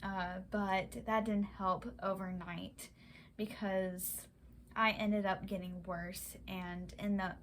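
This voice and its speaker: A young woman vlogger telling a personal story in a halting way, with many fillers and restarts. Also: medium pitch, level tone, fairly bright tone, a little hiss or hum. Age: young adult. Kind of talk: casual personal account.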